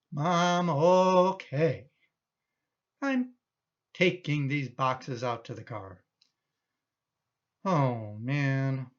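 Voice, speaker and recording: A woman's voice: extremely low pitch, muffled recording, exaggerated voice. A woman playing an exasperated, protective middle-aged son in a radio play, indignant and emphatic in manner. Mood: resigned.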